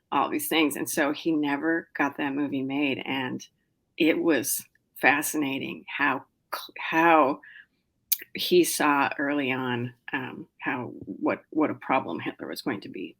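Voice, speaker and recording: A woman's voice: low-pitched, fairly bright tone. A middle-aged woman novelist chatting as a podcast guest, with lots of fillers, restarts and small laughs. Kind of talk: casual interview chat.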